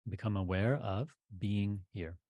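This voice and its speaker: A man with a fairly low voice leads a guided meditation as a teacher. He speaks deliberately, in short phrases set apart by pauses, and the recording is very dry and close, free of room sound. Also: dark tone, no room sound.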